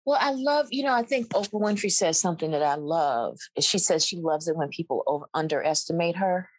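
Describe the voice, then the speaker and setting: A woman guest with a fairly low voice, recorded close and dry. She tells her stories in an animated, wry way, with laughs running through them. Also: fairly quick, no room sound. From Mississippi, USA. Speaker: actor and guest. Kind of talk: storytelling in an interview.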